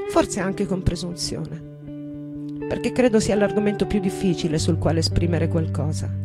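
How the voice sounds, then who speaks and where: A woman performing a dramatic poetic monologue as a passionate, defiant American rock poet, tender and intense, with deliberate repetition. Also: fairly low pitch. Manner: slow, deliberate, intense delivery with repeated phrases. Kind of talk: dramatic monologue and poetry reading over guitar. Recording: live guitar music behind the voice. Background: guitar playing.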